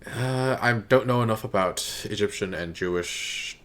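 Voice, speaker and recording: A man giving casual, unscripted commentary as a reaction video host, halting as he thinks aloud. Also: lowish voice.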